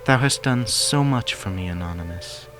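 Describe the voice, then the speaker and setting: A man voicing a gentle, regal female pony princess, soft-spoken and affectionate, with archaic courtly speech. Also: on the low side, lively intonation, moderate pace, music in the background, close to an everyday voice. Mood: tender.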